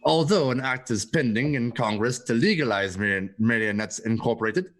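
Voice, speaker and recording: Actor as a formal, secretive salesman, swooping pitch. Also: animated, fairly bright tone, natural voice. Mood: smoothly assuring. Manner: formal, measured, assuring. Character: smooth, secretive male salesman of illegal duplicate androids. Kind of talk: radio play acting.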